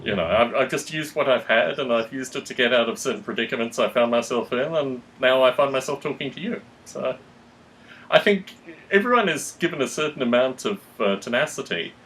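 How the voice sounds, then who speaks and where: A man talking reflectively in conversation, measured, analytical and articulate. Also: medium pitch, expressive, fairly bright tone, a little hiss or hum, poor audio. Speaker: podcast host.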